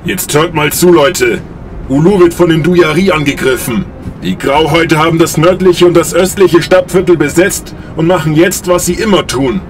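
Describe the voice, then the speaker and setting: Guy acting as foul-mouthed space legion officer, scornful and martial, slightly high voice, music behind. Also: moderate pace, lightly acted. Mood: fierce, scornful. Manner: crude, martial briefing. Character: gruff, foul-mouthed male sci-fi legion commander briefing troops. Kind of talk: science fiction audio drama acting.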